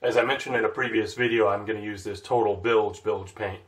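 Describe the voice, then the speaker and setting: A man's voice: fairly low pitch, fairly even intonation, brisk, echoey room. A man giving a plain, matter-of-fact how-to narration about work on his sailboat. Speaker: vlogger refitting his sailboat. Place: aboard a boat in a marina.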